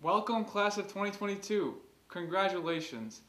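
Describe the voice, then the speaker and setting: A young man co-hosting a student livestream, giving a slow, formal welcome. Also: high-pitched, expressive, fairly bright tone. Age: young adult. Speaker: co-host. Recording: echoey room, off-mic.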